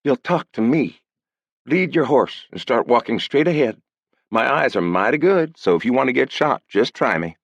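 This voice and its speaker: A man's voice: medium pitch, lively intonation, normal speed, no echo, voice pushed up. A man voicing a wary, bossy young woman on a frontier ranch. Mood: hostile. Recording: dull lo-fi dry audiobook recording.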